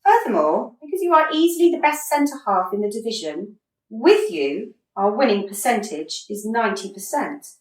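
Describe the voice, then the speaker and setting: Radio comedy: exasperated but patient female football coach played by a woman, articulate, swooping pitch, echoey room. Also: sing-song, moderate pace. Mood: dry, reproving. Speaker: actor in a radio play. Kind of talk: radio comedy drama acting. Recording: roomy echo, distant from mic.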